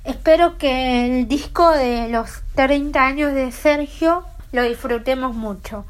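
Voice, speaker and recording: A woman's voice: high-pitched, takes it slowly, clear, resonant tone. A woman with an Argentine Spanish accent leaving a short fan message.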